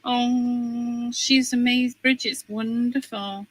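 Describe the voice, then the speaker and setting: A woman chatting casually, softly admiring each paper with lots of "oh" and "mm". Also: a little high, steady tone, slow, husky, rough recording. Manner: admiring, soft reactions, lots of "oh" and "mm". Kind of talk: casual chat.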